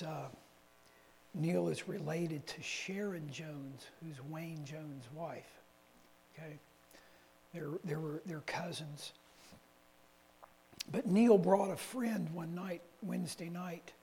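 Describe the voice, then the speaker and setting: A man's voice: on the high side, a little mellow. Folksy man preaching in a hall. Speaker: lay preacher. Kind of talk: conversational sermon with personal stories. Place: church meeting hall. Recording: reverberant hall with faint hum.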